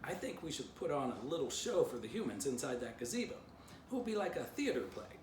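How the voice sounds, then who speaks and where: Storybook dog, female, voiced by a man: self-important, bossy, theatrical, swooping pitch, recorded distantly with background noise. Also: sing-song, fairly quick, reverberant, close to an everyday voice. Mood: eager, bossy.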